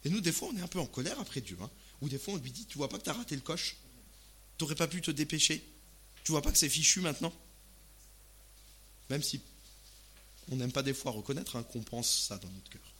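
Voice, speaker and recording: A man from Alsace preaching a sermon in casual, expressive, conversational language, often asking rhetorical questions. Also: fairly high pitch, brisk, long pauses, slight background noise. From Alsace, France. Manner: expressive, uses rhetorical questions and acted-out asides.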